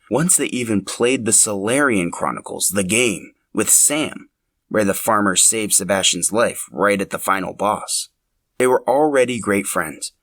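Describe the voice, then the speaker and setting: A man narrates a scripted story for a podcast. His voice is on the low side but bright and crisp-edged, recorded dry with hardly any room around it. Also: no room sound.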